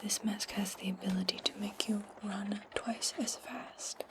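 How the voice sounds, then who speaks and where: A woman playing a commanding witch in a whispered ASMR fantasy roleplay, speaking slowly and deliberately in a breathy whisper as she instructs her servant. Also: medium pitch, steady tone, natural voice. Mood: calm, instructing.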